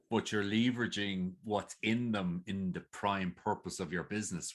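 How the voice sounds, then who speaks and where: A man's voice: deep voice, fairly bright tone. A man with an Irish accent hosting an interview, asking long, rambling questions in a chatty way. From Ireland. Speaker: interview host. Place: video call.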